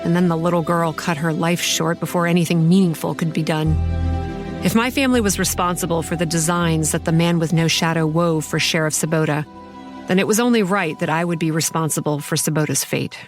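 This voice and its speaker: A woman's voice: sits low, fairly quick, over music, lightly acted. A woman playing a haunted, sardonic young campground manager, telling her horror story in a confiding, wry first person. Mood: brooding.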